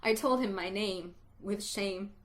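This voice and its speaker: A woman reading a story aloud as narrator, in a slow, expressive telling that builds to exultant emotion. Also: mid-range voice.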